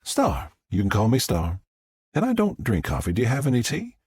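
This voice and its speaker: A man voicing a confident, friendly businesswoman with a smooth Southern US accent in an audiobook. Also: mid-range voice, wildly swooping pitch, normal speed, darkish tone, no room sound, studio quality, pitched above the performer's own voice. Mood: candid, easy. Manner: smooth, polite, forthright.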